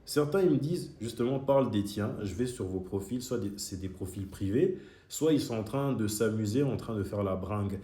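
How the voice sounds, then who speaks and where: A man's voice: lowish voice, animated, moderate pace, mellow. A young man who grew up in Switzerland, speaking straight to his critics in a heated, argumentative monologue. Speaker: video creator. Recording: echoey room.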